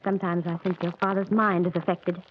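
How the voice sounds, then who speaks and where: A woman's voice: fairly low pitch, level tone, fairly quick, close to an everyday voice. A woman doing a worried middle-aged wife, anxious, pleading, muffled old radio sound, faint hiss. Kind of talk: 1940s radio drama acting.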